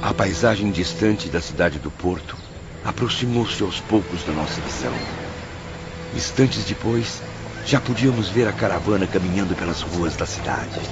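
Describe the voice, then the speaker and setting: A grave male spirit narrating a radio drama with a Brazilian accent. The voice is deep and sorrowful, the phrasing literary. Music plays clearly beneath it in a slightly dull recording. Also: animated, normal speed. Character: grave male spirit recalling his companions' suffering. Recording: muffled radio production, music underneath.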